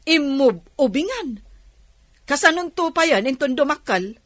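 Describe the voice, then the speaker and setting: A woman playing a sour, meddlesome village auntie, sharp-tongued and scornful, in a comedy radio drama. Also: sits high, expressive, unhurried, no echo, studio quality. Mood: indignant scolding.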